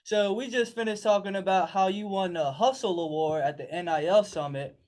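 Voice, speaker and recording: A man with a notably high voice hosts a sports talk radio show. He questions his guest in an upbeat tone and keeps praising him. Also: normal speed, darkish tone. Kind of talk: radio interview hosting.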